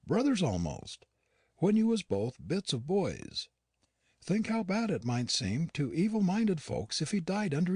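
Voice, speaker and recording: A man's voice: a little high, darkish tone, slightly rough, dry recording, clean studio sound, pitched above the performer's own voice, heavily acted. A man voicing an older Yorkshire housekeeper woman, mournful, with homely dialect speech.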